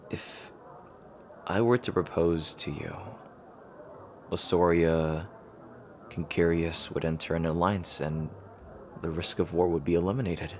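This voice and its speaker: A low, deep male voice of an actor plays a rival king hopelessly in love with his enemy. He speaks earnestly and haltingly, close and intimate as in a fantasy romance roleplay. Some noise sits behind the voice. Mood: tentative, persuasive. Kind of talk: romantic audio roleplay acting, intimate ASMR.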